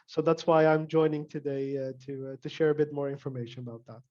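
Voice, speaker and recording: A man's voice: on the high side, flat delivery, fast. A young man introducing himself as a mortgage consultant in a friendly, self-joking way. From Netherlands. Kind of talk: casual introduction. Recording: muffled call audio.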